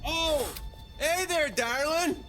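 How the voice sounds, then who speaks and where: An actor playing a cocky young 1930s street punk in a game, taunting in mocking period slang. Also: male voice, extremely high pitch, slow pace, bright, forceful tone, soft background music, heavily acted. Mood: leering. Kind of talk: dramatic video game cutscene acting.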